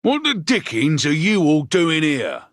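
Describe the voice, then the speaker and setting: Husky, blustering London police inspector. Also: male voice, a little high, wildly swooping pitch, unhurried, fairly bright tone, dry recording, studio quality, heavily acted. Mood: baffled. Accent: British, working-class London. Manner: slangy, incredulous exclaiming. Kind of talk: scripted game voice acting.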